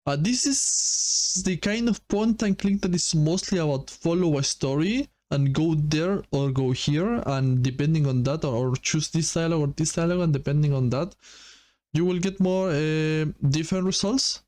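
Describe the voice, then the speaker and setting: A man giving casual commentary and review as a gaming host, speaking haltingly and often rephrasing himself. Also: fairly high pitch, a little mellow.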